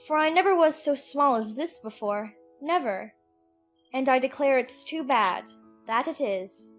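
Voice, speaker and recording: A little storybook girl played by a woman, very high in pitch. She is polite and earnest, musing as if to herself and easily distressed. Soft music sits behind her in this audiobook drama. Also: lively intonation, a little slow. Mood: worried.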